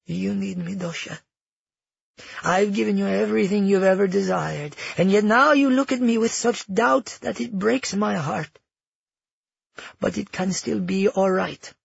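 An elderly royal matriarch played by a male narrator, high in pitch and husky at the edges. She is cold and manipulative, wrapping calm threats in measured sweetness, in a storybook reading. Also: no room sound, highs cut off, heavily acted. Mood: falsely tender. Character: Neela, cold, manipulative elderly royal grandmother, falsely tender.

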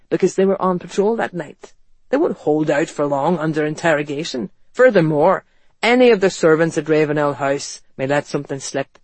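A man acts out a gruff, wary man from a period novel. He is terse and plain-spoken, and the audiobook sound is close and very dry. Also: on the high side, no room sound, lightly acted. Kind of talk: audiobook character dialogue.

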